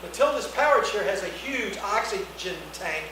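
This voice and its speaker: High-pitched man narrating a screenplay. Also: expressive, normal speed. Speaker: narrator of stage directions. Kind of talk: reading screenplay action lines aloud. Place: indoor reading before an audience, far mic. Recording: very echoey room, distant mic, noisy.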